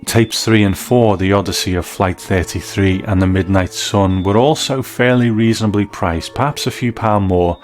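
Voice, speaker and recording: A man's voice: on the low side, moderate pace, hardly any pauses, faint music behind. A man hosting a documentary podcast, reading scripted narration. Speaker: podcast host and interviewer.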